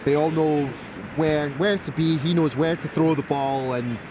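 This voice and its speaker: A Scottish man with a fairly high voice talks through the plays in a relaxed, analytical way. He pokes fun at himself now and then. Traffic and outdoor noise are clearly audible behind him. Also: a little slow. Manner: relaxed analytical chat, self-deprecating. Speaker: colour commentator. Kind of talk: live sports commentary.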